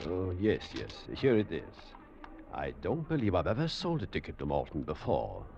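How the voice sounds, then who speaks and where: A fussy, polite English ticket clerk mutters hesitantly in a very deep, swooping voice. It is a dull old radio recording with faint noise. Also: male voice, huge pitch swings, moderate pace, gravelly, darkish tone, full character voice. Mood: politely puzzled. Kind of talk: 1940s radio drama acting.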